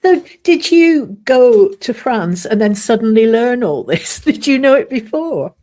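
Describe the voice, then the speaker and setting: A woman's voice: a little high, very animated, a little slow, dark tone. A woman, hosting a podcast and reacting with enthusiasm. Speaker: podcast host. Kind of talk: casual podcast chat.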